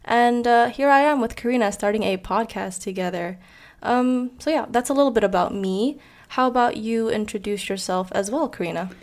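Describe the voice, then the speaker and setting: Twenties woman from Indonesia, podcast co-host, friendly chatty delivery with fillers and soft laughs. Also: a little high, moderate pace. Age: 20s. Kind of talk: casual chat.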